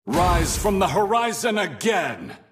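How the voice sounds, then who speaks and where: An actor playing a proud, domineering celestial warrior in a video game, making grandiose, theatrical boasts. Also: male voice, high voice, sing-song, slow, heavily acted. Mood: taunting, triumphant. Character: proud, domineering male Capricorn star warrior, boastful conqueror. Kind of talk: video game hero voice lines. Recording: game music and battle effects behind.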